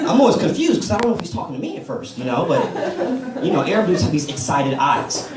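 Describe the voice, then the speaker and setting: A man's voice: extremely high pitch, heavy background noise. An American man doing a stand-up set at an open mic, telling stories in a laid-back way and playing to the crowd. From United States. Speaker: stand-up comedian. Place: comedy club stage with a live audience. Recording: roomy club sound from a distance, crowd laughter. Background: audience laughing.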